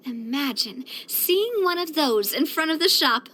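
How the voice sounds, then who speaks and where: A woman voicing a bright, earnest, sociable young female leader in a video game, chatty and expressive. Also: high voice, unhurried, heavily acted. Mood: cheerful, fond. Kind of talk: video game character voice lines.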